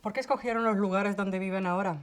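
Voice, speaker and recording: A woman hosting a podcast interview who asks brisk, direct questions. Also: medium pitch, steady tone.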